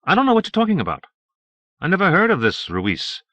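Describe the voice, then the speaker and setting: A defiant, smooth-talking male citizen played by a male audiobook reader. He protests breezily in a raised, conversational voice with a bright edge. The recording is dry. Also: medium pitch, big pitch swings, a little fast, no echo, pitched above the performer's own voice. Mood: feigned innocence. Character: glib, defiant male citizen under questioning. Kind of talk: audiobook character acting.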